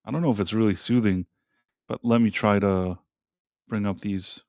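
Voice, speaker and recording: A man's voice: on the low side, fairly even intonation, normal speed, vocal fry, darkish tone, no echo, clean studio sound. Rambling man on a live stream. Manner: rambling, trailing off and restarting. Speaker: co-host. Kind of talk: casual live-stream chat.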